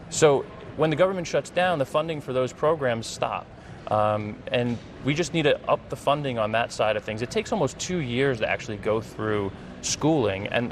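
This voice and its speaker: A man's voice: mid-range voice, slight background noise. A middle-aged man with an American accent answering earnestly as a guest in a news interview, repeating phrases for emphasis. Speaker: interview guest, congressional candidate and former air traffic controller.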